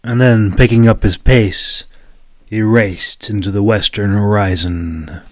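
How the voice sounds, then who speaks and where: A man's voice: fairly low pitch, fairly even intonation, takes it slowly. A man who narrates his own audiobooks, speaking informally as an independent storyteller at a microphone. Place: mostly quiet room at home. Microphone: solo home microphone.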